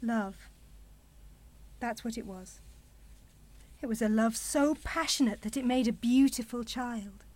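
A woman's voice: on the high side, measured pace, pauses often, a little mellow. A woman acting in a radio play as a proud, defensive single mother, guarded and intense in protecting her son. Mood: wounded, proud.

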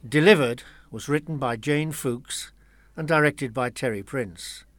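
A man's voice: mid-range voice, a little slow, a bit bright, dry recording. A man announcing the credits of a radio play in a scripted narration style.

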